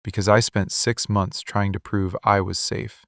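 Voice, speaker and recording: A possessive, wounded mafia boss, played by a man in a dramatic ASMR monologue. His voice is on the low side and he takes his time, weighing each short phrase with controlled intensity. The sound is close and very dry. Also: flat delivery, unhurried, a bit bright, no room sound. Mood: hurt, probing. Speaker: ASMR voice actor.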